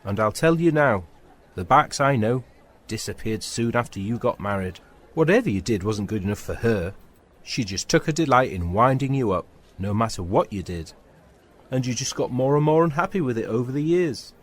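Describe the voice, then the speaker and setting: Actor as lively, laddish British mate. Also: male voice, medium pitch, normal speed, lightly acted. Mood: earnest. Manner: colloquial, matey. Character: laddish, loyal male footballer mate. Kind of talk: full-cast sci-fi audio drama.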